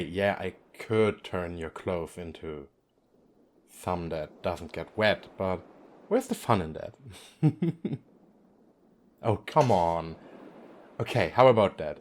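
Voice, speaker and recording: A playful, effeminate young god of the sea, acted by a man, speaks straight to the listener in an ASMR romance roleplay. His voice is fairly low and takes its time, yet it swings widely in pitch as he teases and coaxes. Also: darkish tone. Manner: teasing, coaxing, addressing the listener directly.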